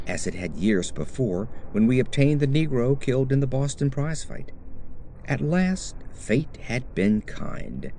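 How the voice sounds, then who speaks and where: A man reading a horror story as an audiobook narrator, in a measured, literary first-person storytelling manner. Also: mid-range voice, mellow, a little hiss or hum.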